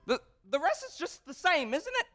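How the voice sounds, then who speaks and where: An actor on a theatre stage playing a young, boastful, childishly cheeky male composer in an excitable, stammering, giggly manner. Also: very high-pitched, moderate pace, loud music behind the voice. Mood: playful, needling.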